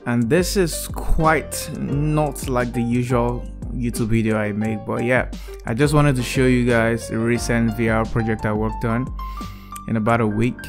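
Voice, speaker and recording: Young man giving a relaxed VR gallery tour. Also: medium pitch, measured pace. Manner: relaxed, enthusiastic, repeats "really cool". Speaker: YouTube creator. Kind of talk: casual vlog walkthrough. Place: indoor room, wearing VR headset. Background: soft background music.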